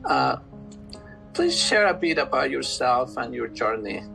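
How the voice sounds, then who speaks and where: A man's voice: medium pitch, big pitch swings, unhurried, creaky, over music, rough recording. A man hosting a formal interview, introducing and questioning a guest. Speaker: interviewer, head of a health program.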